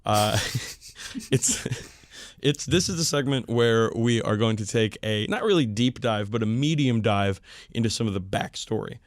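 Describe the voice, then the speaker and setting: A man hosting a casual wargaming chat podcast, speaking informally and with some swearing, his voice hoarse and worn out. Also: on the low side, moderate pace, no echo. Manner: informal, profane. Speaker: podcast host.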